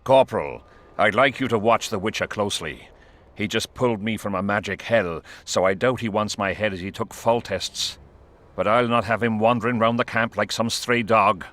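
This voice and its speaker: Male actor as brusque warrior monarch, lowish pitch, sharp forceful tone, imperious orders, fantasy game dialogue. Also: moderate pace, close to an everyday voice. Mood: commanding. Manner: imperious, curt. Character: commanding, brusque warrior king giving orders. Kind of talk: video game voice acting.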